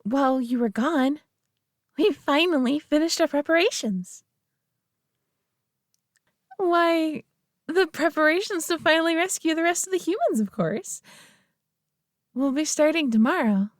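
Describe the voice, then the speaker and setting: A woman acting as an alien mistress with a high, feminine voice, recorded close and dry. She dotes possessively on her pet human, coddling and gushing with gentle condescension. It is intimate roleplay audio drama. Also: unhurried, long pauses, no echo. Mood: gleeful, excited.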